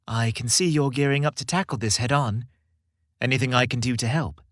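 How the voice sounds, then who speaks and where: A man voicing a supportive young boyfriend in a story, with a gentle, reassuring manner. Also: medium pitch, brisk, dry recording, clean studio sound, close to an everyday voice. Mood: encouraging.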